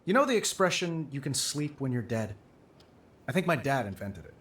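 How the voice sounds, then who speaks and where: An actor playing a weary, wisecracking superhero tycoon in a video game, dry and flippant. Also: male voice, mid-range voice, big pitch swings, normal speed, fairly bright tone, a little hiss or hum. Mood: reflective, wry. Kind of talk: cinematic video game narration and dialogue.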